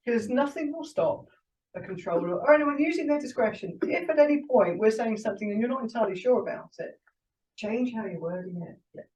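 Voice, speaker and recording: A woman's voice: fairly high pitch, animated, fairly quick, darkish tone. A British woman, an air traffic controller, explaining things in an informal class in a plain-spoken, reassuring way. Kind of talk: informal classroom teaching and discussion. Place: indoor ground school classroom. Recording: roomy, dull, distant, noisy classroom recording.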